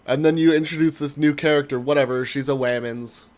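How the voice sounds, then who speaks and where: A man's voice: fairly high pitch, steady tone, a little fast, a little mellow. A man co-hosting a podcast, giving opinions casually with a sardonic, sarcastic edge. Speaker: podcast co-host.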